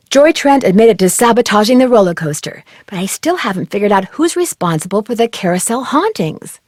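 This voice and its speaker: An actress playing a curious, plucky young woman detective in an adventure game, speaking in a clear, conversational, scripted way. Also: mid-range voice, darkish tone, no echo, studio quality, natural voice.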